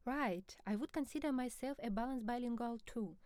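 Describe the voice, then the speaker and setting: A woman speaking as an expert co-presenter in a scripted educational dialogue, measured, formal and explanatory. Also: fairly high pitch, darkish tone, no room sound, studio quality.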